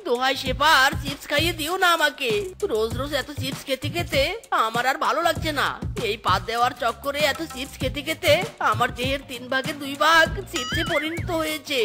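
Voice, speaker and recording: Casting: henpecked male fox for comedy cartoon, female actor, high whiny voice, pleading delivery, music underneath. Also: fairly quick, natural voice. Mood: desperate pleading. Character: put-upon, whining husband fox, male, in comic cartoon. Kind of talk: exaggerated Bengali comedy cartoon acting.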